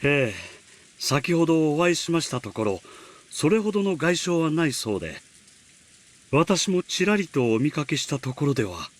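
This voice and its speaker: An obsequious chef in service to a grand house, voiced for a visual novel game. His speech is theatrical and effusively polite, running quickly with big swings in pitch. He is fussy and keen to please. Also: big pitch swings. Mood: self-important. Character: fussy, eager-to-please male servant chef.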